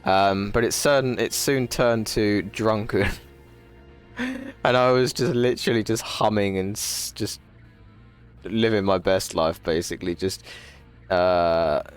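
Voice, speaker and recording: A man's voice: unhurried, pauses often, smooth, soft background music. A man in his twenties, chatting casually and profanely to a live stream audience, rambling and laughing often. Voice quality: low voice. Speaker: livestreamer.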